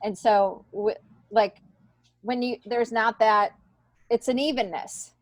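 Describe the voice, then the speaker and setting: A woman's voice: on the high side, steady tone, takes it slowly, dry recording. A middle-aged woman, a stand-up comedian, talks casually and candidly as a podcast guest, in an informal, filler-heavy way. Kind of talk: casual conversational chat.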